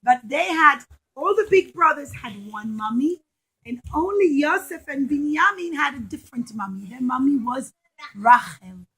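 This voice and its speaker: A woman teacher telling a story to young children in an animated way, with simple, repetitive, emphatic phrasing. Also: high voice, moderate pace, poor audio.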